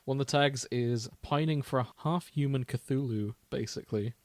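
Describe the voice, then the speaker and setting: A man's voice: medium pitch, normal speed, darkish tone, no echo. A man co-hosting a podcast in casual chat, with a jokey, giggly manner. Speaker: podcast co-host.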